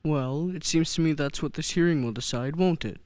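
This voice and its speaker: A man's voice: a little high, fairly quick, close to an everyday voice. Man acting kindly old Santa, noisy recording. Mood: assured, amused. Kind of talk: stage-style radio play acting. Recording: clearly noisy, with clicks.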